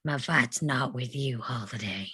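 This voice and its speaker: A man's voice: medium pitch, a little slow, sharp tone, husky, no echo, studio quality, heavily acted. A man reading a menacing, terse and cold cowboy outlaw in a live table read of a western script. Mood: cool, guarded.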